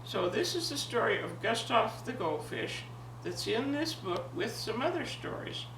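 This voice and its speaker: A woman of ninety, a grandmother with a jovial, expressive manner, talking and telling stories to her family. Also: on the high side, unhurried. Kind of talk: casual reminiscing and animated story reading for family. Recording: echoey, distant home recording with faint noise.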